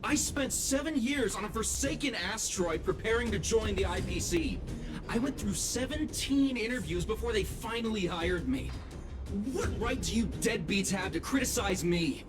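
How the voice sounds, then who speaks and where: An indignant male henchman from a video game. He blusters defensively, and clear music plays behind him. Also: high-pitched, lively intonation, moderate pace.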